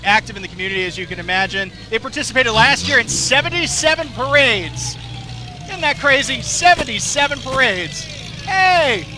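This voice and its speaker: A man with a Southern American accent giving jokey, chatty live commentary on a street parade outdoors. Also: high voice. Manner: jokey, chatty, laughs often.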